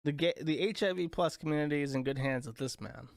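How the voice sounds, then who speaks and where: A man's voice: a little high, quick pace, vocal fry, no echo. Young man, livestream host, crude, sarcastic, dry sound. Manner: rambling, crude, sarcastic, reading chat aloud. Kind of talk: casual livestream chat.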